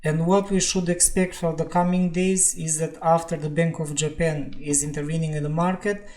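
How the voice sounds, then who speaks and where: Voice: medium pitch, darkish tone. A host walking through a trade review over charts in careful, explanatory non-native English. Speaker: trading analyst and channel host.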